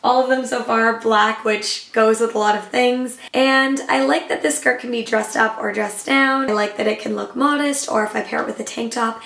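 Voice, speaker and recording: Slightly hoarse young woman vlogger. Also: a little high, fairly even intonation, normal speed, hardly any pauses, a bit bright, echoey room. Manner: enthusiastic, chatty, showing items. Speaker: travel vlogger. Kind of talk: casual upbeat vlog talk to camera.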